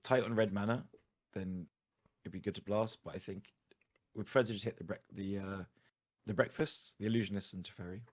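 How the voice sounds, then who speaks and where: A man talks through card game strategy in a fairly low voice that sounds slightly husky and worn. His delivery is casual and analytical, as if thinking aloud while he coaches a live stream. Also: fairly even intonation, a little fast. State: tired voice going hoarse.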